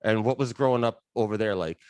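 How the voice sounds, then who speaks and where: A man's voice: lowish voice, fast, a little mellow, clear, resonant tone, no room sound. A Canadian man hosting a podcast, introducing the show and asking questions in a casual, friendly way. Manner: casual, friendly, loose with fillers. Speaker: podcast host.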